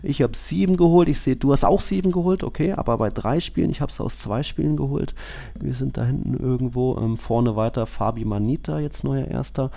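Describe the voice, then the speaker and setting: A man chatting on a football podcast in a mellow, dark tone with a gravelly edge. He rambles and corrects himself mid-sentence, filling gaps with little hesitation sounds. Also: mid-range voice, fairly quick. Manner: rambling, self-correcting analysis with many fillers. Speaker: podcast co-host. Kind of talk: casual football podcast chat.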